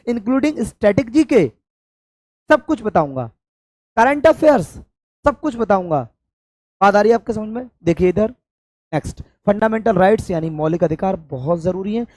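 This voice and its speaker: A hoarse man from India, teaching an exam-prep lesson and stressing points by repeating them. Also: very high-pitched, darkish tone, no room sound. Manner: addresses students affectionately, emphatic repetition. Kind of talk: classroom-style exam coaching lecture.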